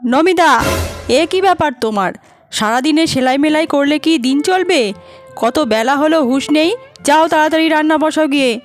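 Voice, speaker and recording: A woman's voice: high voice, normal speed, soft background music, lightly acted. A woman voicing a scornful older village mother-in-law in a cartoon, nagging and taunting with sarcastic questions. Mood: impatient nagging. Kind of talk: cartoon voice acting.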